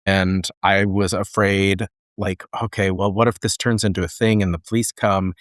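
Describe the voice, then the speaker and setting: A man with a very deep voice muses out loud on a podcast, working through his thoughts with loose everyday fillers. The sound is dry and close. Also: level tone, normal speed, no room sound. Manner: reflective, with fillers like "you know" and "like". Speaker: podcast co-host. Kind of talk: casual discussion.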